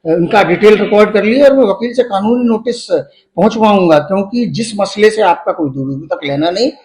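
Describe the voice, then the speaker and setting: A middle-aged man explains his grievance in an interview. He is halting yet emphatic, dropping English words into his sentences. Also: high voice, darkish tone, poor audio. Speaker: medical professor giving an interview.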